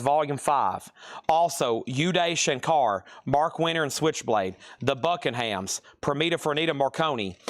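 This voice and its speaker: Man briskly calling out records for sale. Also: a little high, fairly bright tone. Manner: brisk item listing, chatty asides to viewers. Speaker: host of a live record sale. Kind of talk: live sales stream, calling items and bids.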